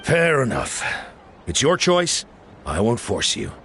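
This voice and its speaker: A man playing a gruff but fair boss among warriors in a video game. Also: medium pitch, huge pitch swings, takes it slowly, fairly bright tone, slight background noise, heavily acted. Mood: resigned.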